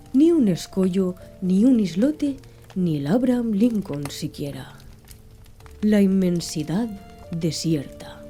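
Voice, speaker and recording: A woman reading an audiobook in a soft, slow, hushed ASMR style, with faint background music. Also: mid-range voice. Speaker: audiobook narrator.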